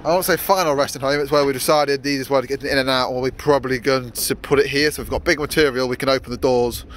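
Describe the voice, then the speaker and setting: A man's voice: mid-range voice, fairly even intonation, fast, fairly bright tone, slight background noise. Rambling man in a workshop. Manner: rambling, many fillers and restarts. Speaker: vlogger, workshop owner. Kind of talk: casual vlog narration. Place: outdoor yard and workshop.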